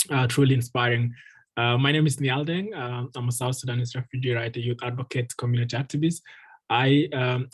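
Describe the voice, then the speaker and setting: A man in his twenties from Ethiopia, a guest speaker and refugee advocate, telling his life story earnestly on a video call with many ums and uhs. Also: mid-range voice. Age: 20s. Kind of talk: personal story told at an online meeting.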